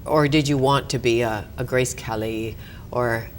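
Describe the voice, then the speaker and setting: A woman's voice: rumbling low, fairly even intonation, measured pace, a little hiss or hum. A woman hosting a studio interview, chatty and enthusiastic, asking about a film. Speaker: interview host.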